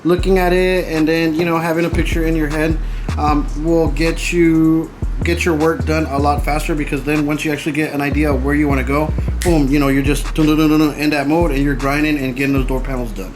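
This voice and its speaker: A man's voice: fairly high pitch, little pitch movement, fairly quick, music in the background. A man hosting a casual DIY build video, talking informally and with slang to his viewers as he explains the work. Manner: informal and chatty, slangy, addresses viewers as guys. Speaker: DIY car audio builder and video host. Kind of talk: casual how-to vlog narration to viewers.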